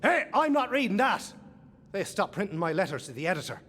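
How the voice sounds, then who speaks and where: Flippant, high-voiced, lively vault hunter. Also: male voice, brisk, big-room sound, full character voice. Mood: playful. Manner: theatrical, wry, jumpy. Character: flippant, easily distracted male vault hunter, quippy. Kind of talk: video game character dialogue.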